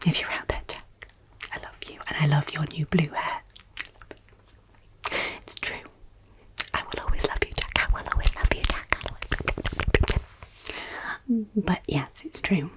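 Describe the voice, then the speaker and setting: An English woman from Yorkshire whispering softly in an ASMR Q&A, teasingly repeating her questions. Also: low-pitched. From England, Yorkshire. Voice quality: soft unvoiced whisper. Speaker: ASMR creator.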